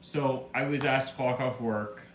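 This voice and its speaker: A man's voice: medium pitch, fairly even intonation, a little slow. A man streaming from his room at home, his voice back after the flu but still carrying a slight cough. He talks casually and rambles, piling on fillers. The room sounds echoey, with a faint hum of ambience behind him. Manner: rambling storyteller, lots of "like" fillers. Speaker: live streamer. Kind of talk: casual live-stream chat.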